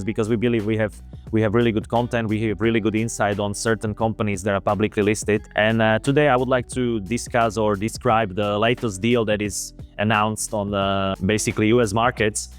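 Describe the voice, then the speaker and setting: Slovak-accented man, lower voice, halting. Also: flat delivery, faint music behind. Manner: halting, many fillers and restarts. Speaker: investment video host. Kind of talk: casual video commentary.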